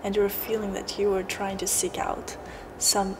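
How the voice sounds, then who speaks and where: A woman's voice: mid-range voice, monotone, normal speed. Young Chinese woman, noisy ambience. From China. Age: young adult. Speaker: first-year student. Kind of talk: documentary interview.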